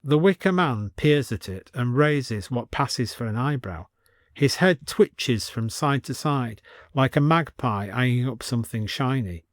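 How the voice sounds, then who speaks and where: A man's voice: mid-range voice, expressive, measured pace, no room sound, clean studio sound. A man reading scripted comic fiction as an audiobook narrator.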